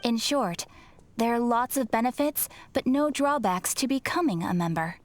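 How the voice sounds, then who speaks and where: An actress playing a polite young guild receptionist in a video game, explaining things in a courteous, formal and measured manner. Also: female voice, a little high, animated, lightly acted. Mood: polite, instructive. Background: game music.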